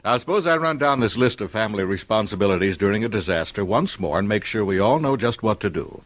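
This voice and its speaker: An actor playing an orderly, fatherly family man in a mid-century public service radio drama, brisk and paternal. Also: on the low side, lively intonation, fairly bright tone, no echo. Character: orderly, fatherly 1950s family man instructing his household.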